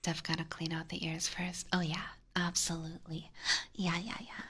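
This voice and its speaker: A woman doing casual ASMR chat in a soft, breathy whisper close to a binaural mic, informal and hesitant, chatting to viewers. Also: on the low side, little pitch movement, normal speed. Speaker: ASMR streamer and host. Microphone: close binaural ear microphone.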